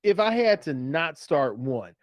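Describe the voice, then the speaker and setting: A man's voice: a little high, big pitch swings, measured pace, no echo, muffled recording. A man co-hosting a fantasy football show in a casual, conversational way, posing questions. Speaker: fantasy football podcast co-host. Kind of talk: casual sports chat.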